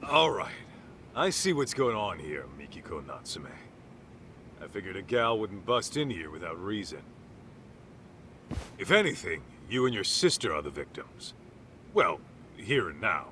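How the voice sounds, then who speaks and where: A man voicing a slick, placating yakuza fixer in a video game, smooth-talking and colloquial with a theatrical manner. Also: deep voice, sing-song, measured pace, pauses often, sharp tone, a little hiss or hum, heavily acted. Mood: assured, reasoning. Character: slick, placating male yakuza fixer, cocky but apologetic. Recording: music behind the voice. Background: music.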